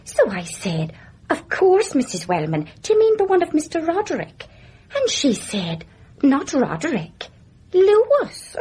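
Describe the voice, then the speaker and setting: High, lilting Irish nurse. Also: female voice, wildly swooping pitch, unhurried, darkish tone, exaggerated voice. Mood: confiding, hushed. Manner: chatty, gossiping, confiding. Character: chatty, gossipy Irish nurse in a country house. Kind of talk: radio drama acting.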